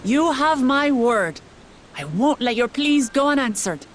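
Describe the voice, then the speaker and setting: A woman's voice: sits high, lively intonation, unhurried. An actress playing an earnest young royal woman who speaks sincerely and reassuringly in a video game cutscene. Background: soft game music.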